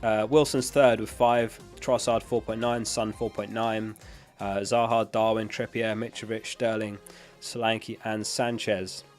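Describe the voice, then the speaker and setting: Low-pitched man hosting a casual livestream. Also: level tone, measured pace, hardly any pauses, faint music behind. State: losing his voice after being unwell. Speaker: livestream host. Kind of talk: casual live-stream chat, reading and answering viewer questions. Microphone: close dynamic studio microphone.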